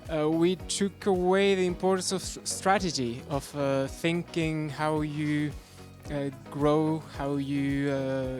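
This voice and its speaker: A man's voice: medium pitch, a little mellow. A man who played a game, reflecting on it in an interview while searching for words. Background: background music.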